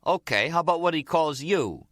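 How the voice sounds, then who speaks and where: Audiobook crime underling, man performing: eager, resentful, insistent whine, high-set and very animated. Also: a little fast, no room sound, clean studio sound. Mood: complaining. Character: eager, resentful young mob underling Nicky, grumbling.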